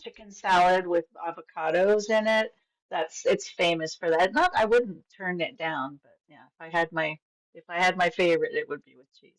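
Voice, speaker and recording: Podcast guest: middle-aged woman from Venezuela, lower voice, chatty and enthusiastic storyteller. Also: normal speed, poor audio. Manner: warm, enthusiastic, with frequent restarts and fillers. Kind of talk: casual conversational storytelling.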